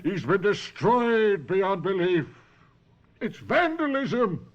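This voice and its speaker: A man's voice: high-pitched, sing-song, slow pace, exaggerated voice. An actor playing a proud, indignant professor and craftsman in an old-time radio drama, grandiose and precise. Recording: muffled vintage radio drama recording.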